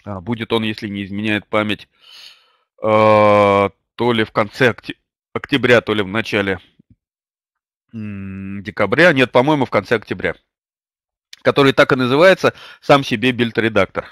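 A man's voice: lowish voice, fairly bright tone, no echo, clean studio sound. Hesitant older man lecturing with a slight cold. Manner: hesitant, many drawn-out fillers. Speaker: webinar host and publishing trainer. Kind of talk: webinar lecture with personal anecdote. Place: small indoor room. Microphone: webcam or desk mic at a computer.

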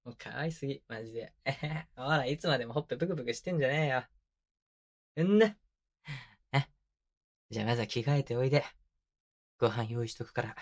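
A young boyfriend, played by a male voice actor, speaks up close in a mid-pitched voice. He is tender and doting, with a teasing, mock-scolding edge and casual masculine phrasing. It is intimate binaural roleplay audio made for headphones. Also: a little fast, pauses often, close to an everyday voice. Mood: affectionate teasing. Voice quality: medium-low voice. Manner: casual, rough-edged masculine speech, soft laughs. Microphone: close binaural microphone.

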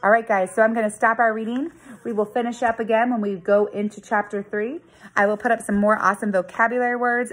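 A woman in her thirties with a mid-pitched voice reads to young students like a teacher. Her storytelling is expressive and dramatic, with deliberate emphasis on key words. She is recorded at home on a handheld device. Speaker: teacher reading aloud to students. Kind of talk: expressive children's story read-aloud. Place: living room at home. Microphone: phone or tablet at arm's length.

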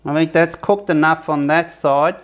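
A man's voice: fairly high pitch, fairly even intonation, moderate pace. A man giving a casual, rambling cooking demonstration for his camping review channel, often restarting his sentences. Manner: chatty, rambling, self-correcting.

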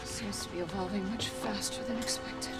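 A woman's voice: medium pitch, moderate pace, over music, lightly acted. An actress playing a cold, preoccupied woman scientist who speaks in a clinical, dismissive way in a video game. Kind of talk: dramatic video game voice acting.

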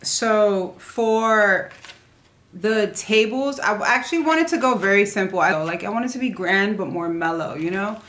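A woman's voice: mid-range voice, unhurried, fairly bright tone. A young adult woman chatting casually to camera as a vlogger, informal and rambling, often trailing off mid-thought. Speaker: vlogger showing a shopping haul. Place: living room at home, indoors.

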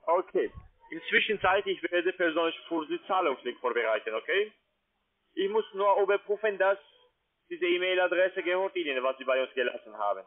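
A man's voice: high voice, expressive, brisk. A man who is not a native German speaker, working as a call centre sales agent, insistent and ungrammatical in his phrasing. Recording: narrow tinny phone line.

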